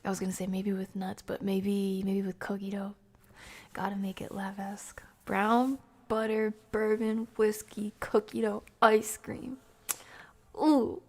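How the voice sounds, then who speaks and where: A woman live streamer chatting casually with viewers, soft-spoken and often slipping into a breathy near-whisper. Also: medium pitch. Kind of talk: casual livestream chat with viewers.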